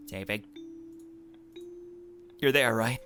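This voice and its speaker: A man voicing a hesitant, worried teenage girl in a mystery visual novel, halting and unsure. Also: mid-range voice, pushed and bright, a little hiss or hum. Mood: anxious, pleading.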